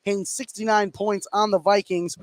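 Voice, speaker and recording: High-pitched American man calling live basketball. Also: flat delivery, normal speed. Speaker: play-by-play basketball announcer. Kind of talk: live sports commentary.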